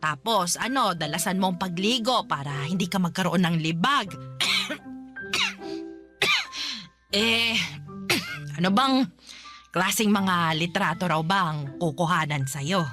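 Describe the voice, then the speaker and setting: A woman playing a doting, easily delighted elderly grandmother in a radio drama, exclamatory and halting, with a Filipino accent. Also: lowish voice, over music. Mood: curious, fussing. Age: older. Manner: exclamatory, halting, breaking into cackles.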